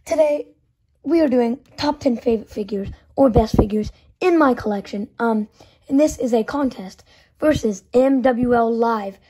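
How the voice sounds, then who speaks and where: A woman with a hoarse voice from yelling, counting down her toy figure collection in a loose, chatty way, with plenty of "um" and "so yeah", filmed on a phone in a small indoor room. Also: fairly high pitch, moderate pace, poor audio. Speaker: toy collector channel host. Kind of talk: casual video countdown.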